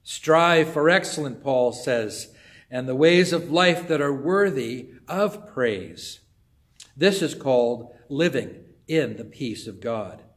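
An older man gives a sermon from a church sanctuary, recorded close on a phone or webcam. He talks very slowly and deliberately at a middling pitch, often sinking into a soft, hushed voice to make a point. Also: expressive. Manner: slow, measured preaching with drops to a soft, hushed voice. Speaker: pastor. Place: church sanctuary before an altar cross.

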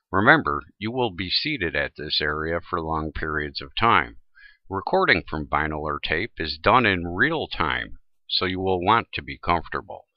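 Male tutorial presenter, deep voice, scripted how-to read, dry and slightly dull recording. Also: no echo. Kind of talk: scripted instructional narration.

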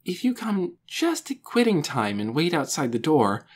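Woman doing serious young boy in a kids audiobook, soft n hesitant, kinda doubtful, thinking it over. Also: deep voice, big pitch swings, moderate pace, voice pushed up. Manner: hesitant, soft-spoken, murmuring. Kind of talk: children's audiobook character dialogue.

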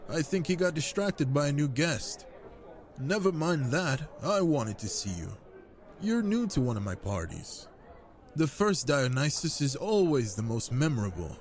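Man acting flirtatious wine god, genial, smooth-talking, expressive swooping delivery, intimate audio drama. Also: on the high side, measured pace. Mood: flirtatious, tender.

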